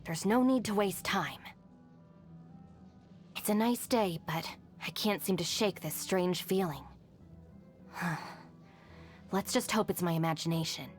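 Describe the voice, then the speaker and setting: A young female gang deputy from a video game, competent and no-nonsense. Her voice sits a little low, with a brisk, matter-of-fact confidence. Music plays softly underneath. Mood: businesslike, composed. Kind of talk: video game character voice lines.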